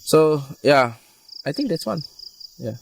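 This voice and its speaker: A man, a wildlife rescue worker, speaking earnest and halting English in an interview outdoors. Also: fairly high pitch, very animated, creaky. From Indonesia. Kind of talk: interview answers. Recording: distant mic, faint insect noise.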